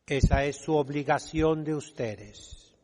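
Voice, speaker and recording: Older male preacher, extremely slow delivery, emphatic scolding homily, long church reverb. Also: on the high side, a little mellow. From Mexico. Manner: emphatic, scolding, deliberate with dramatic pauses. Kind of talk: fiery homily, exhorting the congregation. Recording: echoing hall with long reverb.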